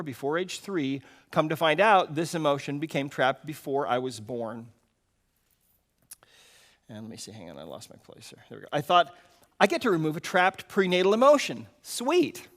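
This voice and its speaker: Man, slightly high voice, live storytelling from a script, earnest delivery, dramatic pauses. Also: a bit bright. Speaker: speaker reading a story aloud at a retreat. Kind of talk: expressive story reading to a live audience. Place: live retreat event.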